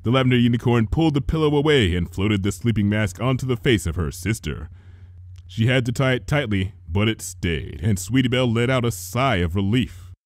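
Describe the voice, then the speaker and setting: A man reading a story aloud as a dramatic, expressive narrator. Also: medium pitch, a little fast, dry recording, clean studio sound. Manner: theatrical, expressive. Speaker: fan fiction reader.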